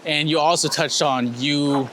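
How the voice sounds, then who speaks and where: Higher-voiced man interviewing amid street noise. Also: fairly even intonation, measured pace. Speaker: host interviewing a photographer. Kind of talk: casual interview questions. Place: outdoors on a New York City street. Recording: noisy outdoor city street. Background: clear traffic and street ambience.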